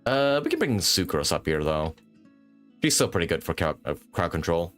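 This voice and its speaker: A man doing casual, informal live gameplay commentary, thinking out loud as a streamer. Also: sits low, big pitch swings, normal speed. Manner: informal, swearing, thinking aloud. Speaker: game livestreamer. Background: video game music and sound.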